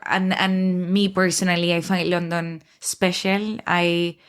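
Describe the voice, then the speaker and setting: Spanish woman, english not her first language, lowish smooth voice, lots of ums and restarts, thinking aloud. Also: level tone, measured pace. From Spain. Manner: hesitant, thoughtful, with many fillers and restarts. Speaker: guest. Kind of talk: casual conversation.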